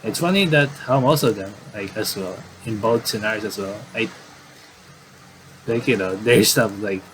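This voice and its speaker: A man doing casual game commentary and reading aloud, in a halting, rambling way with repeated words. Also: on the low side, noisy background.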